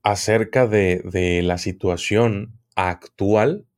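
A man, a guest on a podcast, explaining at length in a measured, hesitant way. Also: on the low side, fairly even intonation. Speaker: guest occult expert. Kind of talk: podcast conversation.

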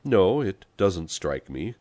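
A mild little middle-aged gentleman from a storybook, voiced by a male reader. He speaks quietly and courteously in a low register with little echo, measured and never flustered. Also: animated. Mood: calm. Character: thin, middle-aged gentleman, polite, mild, unflappably calm.

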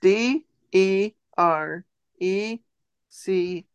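A woman's voice: medium pitch, very slow, clean tone, no echo, dull audio. Woman podcast host doing a word quiz, chatty, takes her time, dry sound. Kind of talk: casual chat, quizzing co-hosts.

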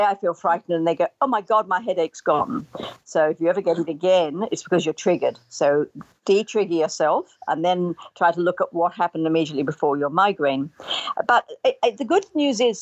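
A woman's voice: fairly low pitch, animated, a little fast, no echo, dull audio. An older woman, answering in an interview in an earnest, emphatic way and repeating phrases to drive points home. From Australia. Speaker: trauma recovery expert, interview guest.

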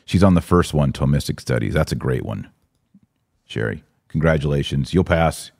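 A man's voice: a bass voice, lively intonation, moderate pace, gravelly, darkish tone, no echo, clean studio sound. An American man hosting a live podcast, commenting and answering viewers in an emphatic way, repeating phrases for stress. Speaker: podcast host.

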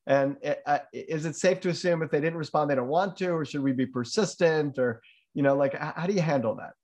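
A man's voice: a little high, fairly quick, a little mellow. A man hosting an interview who asks casual questions, thinks aloud with hedges and fillers, and chimes in often with agreement. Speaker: interview host.

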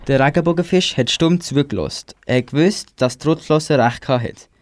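A man's voice: fairly high pitch, a little fast. A teenage boy with a Swiss German accent, narrating a scripted amateur children's radio play.

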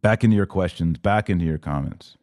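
Male podcast host, low voice, dry close sound, emphatic and preachy religious commentary. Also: a little fast, darkish tone, no room sound. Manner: emphatic, preachy, with rhetorical questions. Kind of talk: live religious commentary rant, reading audience comments.

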